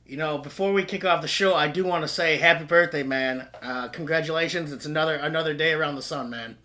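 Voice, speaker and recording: A man's voice: on the high side, quick pace, pushed and bright. A man hosting an interview podcast, talking in a friendly, conversational way and calling his guest "man" a lot. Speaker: podcast host, historian. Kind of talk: casual interview chat.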